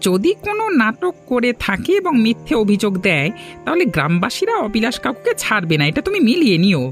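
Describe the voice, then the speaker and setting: A performer voicing a cautious, even-handed young villager in a cartoon, weighing up gossip. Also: mid-range voice, a little fast, soft background music. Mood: firm. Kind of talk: cartoon voice acting.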